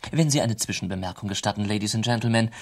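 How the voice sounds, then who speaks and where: An actor playing a wry, pompous commentator in a radio drama, speaking in florid, mock-formal, ironic phrases. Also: male voice, lowish voice, fast, gravelly. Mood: mock-formal, philosophical. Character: wry, pompous male commentator of worlds, addressing listeners.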